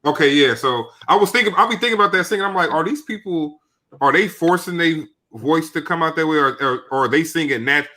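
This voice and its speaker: A man's voice: on the high side, brisk, bright, forceful tone. A young man co-hosting a podcast, talking loosely with slang and lots of restarts. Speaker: podcast co-host. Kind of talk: casual chat.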